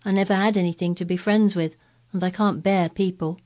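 A woman voicing an anxious, excitable sickly young boy in a storybook reading, eager and pleading. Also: on the low side, a little fast, lightly acted. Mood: wistful.

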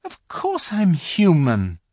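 A polite, puzzled young girl voiced by an audiobook reader, pitched above the reader's own voice and spoken softly. She answers earnestly and courteously. The recording is dry and close. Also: wildly swooping pitch, slow pace, no room sound, full character voice. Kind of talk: audiobook reading.

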